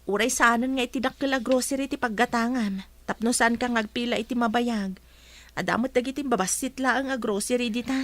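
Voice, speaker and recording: A woman's voice: a little high, a little fast, dry recording, close to an everyday voice. A woman acting as a possessive, watchful bakery wife in a comedy-drama radio play. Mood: bossy.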